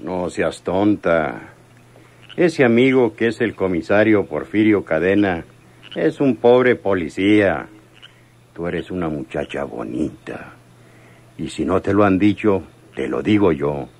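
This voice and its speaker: Radio soap villain: wealthy domineering seducer, deep voice, drawn-out delivery, insistent. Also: male voice, expressive, a little mellow, heavily acted. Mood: arrogant, insistent. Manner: deliberate, smooth-talking, domineering. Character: rich city villain, arrogant, insistent, menacing seducer. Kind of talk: Spanish-language radio soap opera acting.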